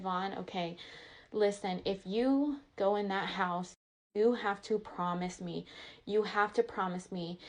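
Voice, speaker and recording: A woman with a medium-pitched voice recounts a personal story as a vlogger would. Her delivery is casual and confessional, full of colloquial phrasing. She dramatizes reported conversations, voicing each side as she goes.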